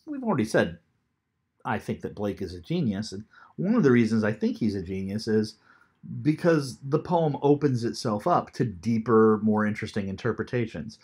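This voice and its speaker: A man in his fifties giving a recorded lecture from an armchair at home, thoughtful and unhurried with frequent ums, into a webcam. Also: lowish voice, big pitch swings, a little mellow, husky. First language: English. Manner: unhurried, thoughtful, many ums, reads poetry aloud slowly. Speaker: teacher. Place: small room at home, seated in armchair.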